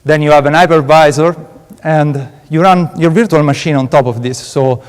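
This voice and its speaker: A man's voice: on the high side, fairly even intonation, normal speed, clean tone. A man in his late twenties with an Italian accent, a PhD student giving a conference talk, hesitant and full of filler sounds. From Italy. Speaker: PhD student presenting research. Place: large conference hall. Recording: reverberant conference hall.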